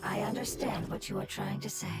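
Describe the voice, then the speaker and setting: A woman voicing a calm, wise young goddess who explains things gently and evenly. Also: mid-range voice, creaky. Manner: soft, measured, gentle explaining. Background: loud game music.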